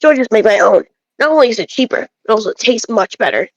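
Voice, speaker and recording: A woman's voice: a little high, animated, fast, muffled recording. A woman reading aloud as an opinionated, folksy male bread enthusiast who rambles and grumbles through game dialogue. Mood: grumbling, rambling.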